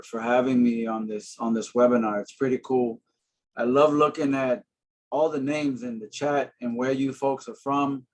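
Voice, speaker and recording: A man in his forties, from Boston, speaks on a webinar over a video call. His voice sits at an ordinary pitch, and his delivery is earnest and friendly, with frequent ums and uhs. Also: rough recording. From Boston, Massachusetts. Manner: earnest, friendly, many fillers. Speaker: housing panelist. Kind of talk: webinar presentation.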